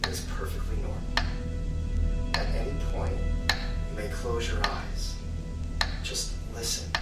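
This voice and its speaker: A distant, heavily echoing male psychiatrist, speaks slowly and soothingly. He is calm, earnest and deliberate, like a hypnotist in a radio drama, with loud music around him. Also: fairly low pitch, vocal fry. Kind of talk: hypnosis session in audio drama.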